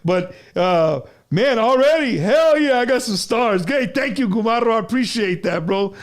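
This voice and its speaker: A man's voice: very high-pitched, lively intonation, normal speed. A man hosting a casual livestream music interview, loose and slangy, laughing easily and calling his guest bro and dude. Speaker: host of an online music interview show.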